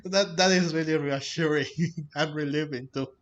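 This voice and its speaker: A man giving casual let's play commentary, halting and often repeating himself. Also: fairly high pitch. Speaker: gaming video host.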